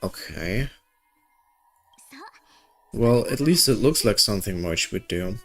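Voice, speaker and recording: A woman's voice: very deep voice, lively intonation, slow pace, fairly bright tone, faint music behind. A woman giving casual commentary as she plays through a story game. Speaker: gaming video creator. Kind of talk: casual game playthrough commentary, reading story dialogue aloud.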